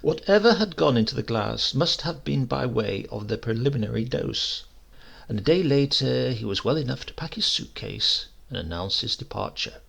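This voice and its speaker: A man with a gravelly, husky voice narrating a humorous short story in a measured, theatrical, scripted style. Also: mid-range voice, animated, fairly bright tone.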